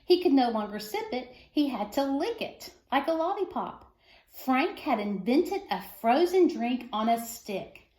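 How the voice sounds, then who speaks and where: Female storyteller for a kids' picture book read-aloud, fairly high voice, expressive phrasing, echoey room. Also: measured pace. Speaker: reader of a children's book.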